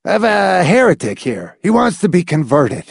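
A man's voice: high voice, big pitch swings, moderate pace, husky, dry recording, clean studio sound, voice pushed up, heavily acted. Man doing a distraught, pleading grown man. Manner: imploring, distraught.

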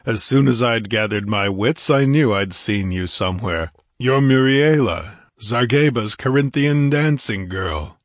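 A massive barbarian warrior barks questions with rumbling contempt, as a male reader voices him. His voice sits at an ordinary middle pitch, close and dry. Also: a little slow, few pauses, dry recording, lightly acted. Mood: scornful. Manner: rumbling, scornful, demanding.

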